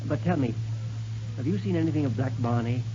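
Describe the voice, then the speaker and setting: An actor playing an eager scientist inventor of the far future in old radio drama style, precise and reassuring. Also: male voice, mid-range voice, fairly quick, close to an everyday voice. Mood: matter-of-fact. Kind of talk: 1930s radio drama acting. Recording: muffled old radio broadcast with hum.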